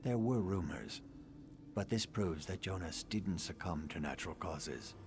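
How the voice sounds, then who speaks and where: An actor playing a grim, vengeful assassin in a fantasy game, speaking in a measured, menacing, formal way. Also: male voice, low-pitched, lively intonation, background noise. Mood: sardonic, grim. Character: grim vengeful male assassin of a dark brotherhood. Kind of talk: scripted fantasy game dialogue.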